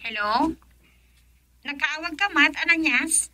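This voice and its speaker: A woman's voice: high voice, slow pace, pushed and bright, full character voice. An actress playing a chirpy young woman working in the city in an Ilocano radio drama, with an Ilocano accent. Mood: bright, coy.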